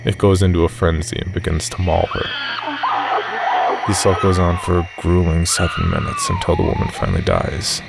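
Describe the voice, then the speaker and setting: A man narrating a scripted horror story in a measured, matter-of-fact way. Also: low voice, huge pitch swings, creaky, over loud music.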